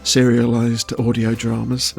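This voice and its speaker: A man's voice: mid-range voice, completely flat delivery, measured pace, creaky, a little mellow, soft background music. A man giving casual interview answers as a voice actor, choosing his words with hesitation and often chuckling.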